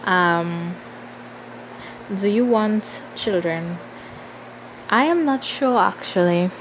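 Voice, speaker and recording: Slow-talking young Trinidadian woman, chatty. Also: mid-range voice, animated, background noise. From Trinidad and Tobago. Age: 20s. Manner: informal, playful asides, thinking aloud. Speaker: vlogger. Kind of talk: casual Q&A chat to followers.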